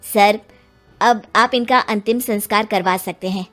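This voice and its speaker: A woman's voice: mid-range voice, steady tone, measured pace, a bit bright, faint music behind, natural voice. A woman voicing an earnest, respectful male police inspector in an acted story. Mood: polite, probing. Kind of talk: acted Hindi audio story dialogue.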